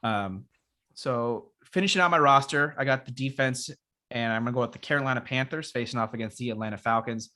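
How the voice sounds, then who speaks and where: Raspy-voiced man hosting a sports chat. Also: mid-range voice, fairly quick. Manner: relaxed, rambling, filler-heavy. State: raspy after illness. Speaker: podcast co-host.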